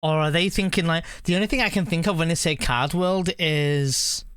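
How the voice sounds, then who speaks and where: Higher-pitched man, clean dry sound. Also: moderate pace, a bit bright, clean tone, no room sound. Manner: rambling, musing aloud. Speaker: game streamer. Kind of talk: casual live-stream chat and reaction.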